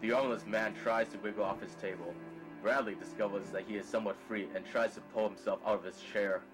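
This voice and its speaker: A man with a fairly low voice serves as narrator of a scripted drama, describing the action in the present tense. Music is clearly heard behind him. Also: steady tone, fairly quick, rough recording.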